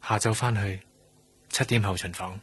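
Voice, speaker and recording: A man's voice: fairly low pitch, fairly even intonation, normal speed, husky, no room sound. An actor with a Hong Kong Cantonese accent playing a young trainee doctor in a radio drama, guarded, evasive and defensive in his answers. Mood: guarded, terse.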